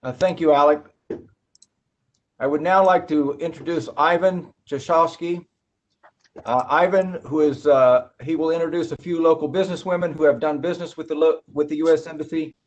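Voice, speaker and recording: A man's voice: on the high side, fairly even intonation, moderate pace. Man on dull lo-fi audio. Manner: polite, with some fillers. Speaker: event host. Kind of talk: formal introduction.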